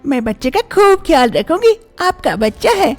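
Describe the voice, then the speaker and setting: Young, deferential housemaid wife, played by a woman, high and very lively, for a cartoon moral tale. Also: normal speed, soft background music. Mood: reassuring. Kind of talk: cartoon story voice acting.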